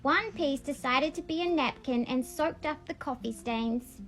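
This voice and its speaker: A woman's voice: high-pitched, measured pace, fairly bright tone, faint music behind. A woman reading aloud in a childlike storybook manner, with a casual, homemade read-along style. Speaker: vlogger reading a picture book aloud.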